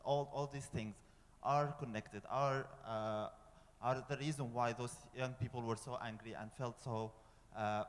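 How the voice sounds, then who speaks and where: A young man with an Egyptian accent earnestly putting a question from the audience at a public forum, restarting phrases as he goes. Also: medium pitch. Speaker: audience member asking a question. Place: large conference hall. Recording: reverberant conference hall.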